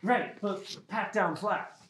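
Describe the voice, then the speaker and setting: Guy doing a sheepish sketch patient, high voice, dead serious about it, echoey room. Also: slow pace, fairly bright tone. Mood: earnest, grave. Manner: mock-serious, earnest. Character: earnest, sheepish male patient making an absurd confession.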